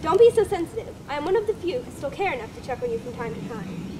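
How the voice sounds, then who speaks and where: A woman's voice: high voice, very animated, fast, background noise. A woman reading as a teasing, mocking city friend. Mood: lecturing. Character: teasing man, worldly city friend and critic. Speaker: reader in a dramatic reading.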